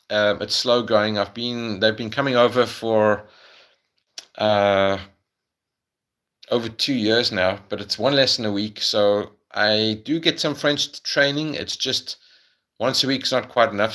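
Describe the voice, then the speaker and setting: A man's voice: fairly low pitch, a bit bright. A middle-aged man with a South African accent, answering viewers' questions on a casual livestream, hesitant, with many ums and restarts.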